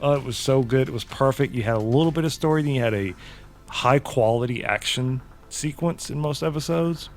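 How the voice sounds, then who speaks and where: Slangy excitable man hosting. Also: mid-range voice, a little fast, faint music behind. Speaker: host. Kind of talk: casual interview chat.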